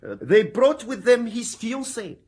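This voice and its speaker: An actor playing an effusive, mournful French concierge in a British radio drama, with a husky voice and French accent. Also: male voice, extremely high pitch, lively intonation, unhurried, a little mellow, heavily acted. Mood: polite, admiring. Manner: effusive, lamenting, slipping into French.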